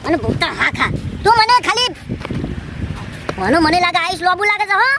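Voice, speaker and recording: Sore, plotting small-time village gangster for a cartoon male actor, rural North Gujarati, chipmunk-high sped-up voice over noticeable background noise. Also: very animated, brisk, heavily acted. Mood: indignant, scolding. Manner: complaining, scolding. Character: aggrieved, scheming male would-be village gangster. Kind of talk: cartoon comedy dialogue.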